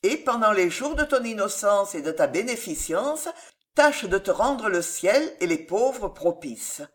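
A woman's voice: medium pitch, very animated, a little slow. A woman voicing a solemn, eccentric moralizing man in an audiobook, exhorting in declamatory phrases. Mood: fervent blessing. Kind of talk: audiobook character voice.

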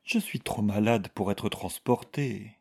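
A man plays a frail, sick young woman in a storybook. The voice is husky and plaintive, pleading wearily from a sickbed. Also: deep voice, steady tone, moderate pace, darkish tone.

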